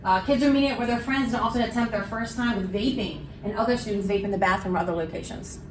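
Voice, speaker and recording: A woman's voice: mid-range voice, fast. A woman teacher giving earnest, frustrated remarks at a town hall. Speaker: teacher speaker. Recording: echoey room, distant mic, background noise.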